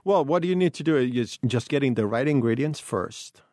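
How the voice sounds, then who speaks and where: A man's voice: medium pitch, expressive, moderate pace, mellow, no echo. A man with an Italian accent explains things emphatically as a radio guest, a tour guide from Italy. His voice sits in an ordinary middle range. The recording is close and dry.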